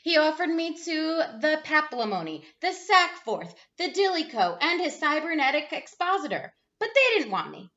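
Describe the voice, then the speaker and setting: Animated, high-voiced woman reading to children. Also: normal speed, few pauses, highs cut off. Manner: expressive, dramatic storytelling for young children. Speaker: teacher reading a picture book aloud. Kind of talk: animated children's read-aloud.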